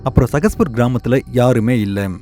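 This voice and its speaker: Mellow-voiced man telling a story. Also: mid-range voice, moderate pace. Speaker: narrator. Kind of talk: animated horror story narration.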